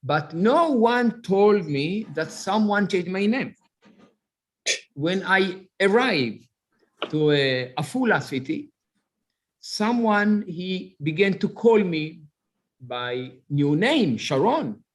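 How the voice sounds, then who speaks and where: A middle-aged rabbi and author from Ethiopia, speaking with an Ethiopian accent, tells his life story in an interview, haltingly and with many fillers as he searches for words. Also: high voice, expressive.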